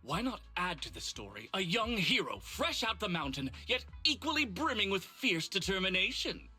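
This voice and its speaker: A high, piercingly bright male voice for an excitable film director in a game. He is effusive and theatrical, flattering and pleading with sweeping intonation. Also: normal speed, faint music behind, exaggerated voice. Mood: persuasive, effusive. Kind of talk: video game voice acting.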